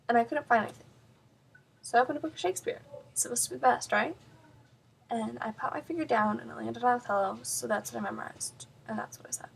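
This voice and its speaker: A woman's voice: on the high side, vocal fry, a little hiss or hum. A woman performing a comedic stage monologue as a flustered, wryly self-deprecating grieving daughter, with dramatic swells and drops in her delivery. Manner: dramatic, halting emphasis with big swells and drops. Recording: distant mic.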